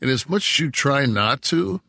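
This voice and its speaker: A man's voice: medium pitch, lively intonation, normal speed, gravelly, pushed and bright, no echo, studio quality. A man in his sixties from Detroit, co-hosting a podcast and talking in a heated, profane, rambling way. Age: 60s. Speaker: podcast co-host. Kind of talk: casual chat.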